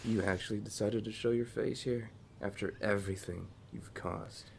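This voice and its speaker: A man's voice: on the low side, steady tone, normal speed, creaky, a little mellow, slight background noise. An actor playing a condescending, hostile young man, a resentful lord's son, in an audio drama. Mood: bitter, accusing. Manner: sneering, condescending.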